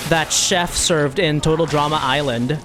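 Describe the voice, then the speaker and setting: A woman's voice: deep voice, steady tone, measured pace. A woman giving casual, jokey, exclamatory commentary as she plays through a video game. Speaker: gamer reacting to a first playthrough. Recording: game music behind voice.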